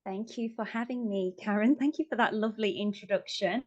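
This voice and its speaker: A woman's voice: mid-range voice, brisk, darkish tone, lo-fi sound. A woman, chatting as an interview guest with many yeahs and self-corrections. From Manchester, England. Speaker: guest, family wellbeing coach. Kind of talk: casual interview chat.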